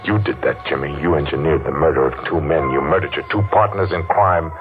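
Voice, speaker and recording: An actor playing a menacing masked man seeking revenge, taunting and deliberate, in 1940s radio acting style. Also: rumbling low, over music. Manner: taunting, slow and deliberate, laughs mockingly. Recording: muffled old radio recording.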